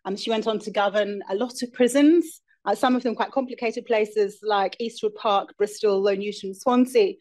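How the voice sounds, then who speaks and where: Halting woman hosting a lecture evening. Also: medium pitch, darkish tone. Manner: informal and halting, with frequent restarts. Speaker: event host, charity chief executive. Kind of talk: introductory remarks at a lecture. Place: live lecture event with an audience.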